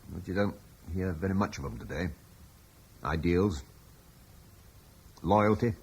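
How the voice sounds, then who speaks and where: An actor playing a thoughtful, idealistic older father, speaking in a reflective, persuasive way with a husky voice. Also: fairly low pitch. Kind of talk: radio drama acting.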